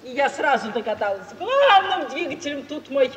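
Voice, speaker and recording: An actress playing a shrewd, warm-hearted provincial gentlewoman in an expressive, emphatic 1950s Russian radio theatre style. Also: high-pitched, moderate pace, slight background noise. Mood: indignant. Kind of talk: mid-century Russian radio theatre acting. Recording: 1950s radio play, distant, echoey hall.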